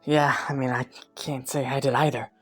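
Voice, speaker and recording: A woman's voice: very deep voice, little pitch movement, normal speed, soft background music. A woman playing a young scheming prince in a romantic audio roleplay, courteous and formal, with flustered stammers. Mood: nervous, courteous.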